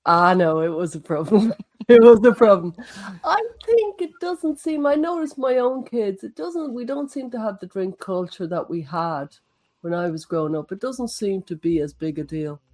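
An Irish woman chats easily as a guest, with a medium-pitched voice and a quick laugh. Her voice sounds dry and close, with no room around it. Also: animated, a little mellow, dry recording. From Ireland. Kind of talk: casual chat.

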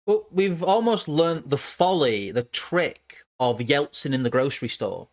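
A man's voice: fairly high pitch, measured pace. Rambling musing male streamer. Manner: musing, stumbles and repeats words. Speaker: streamer host. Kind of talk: casual livestream talk.

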